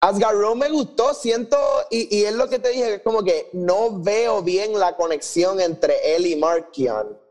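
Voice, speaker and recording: A man's voice: high-pitched, animated, unhurried, few pauses, darkish tone. A man with a Puerto Rican accent co-hosting a casual review chat, swearing freely and switching between Spanish and English. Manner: salty, swearing, mixes English phrases into Spanish. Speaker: podcast host.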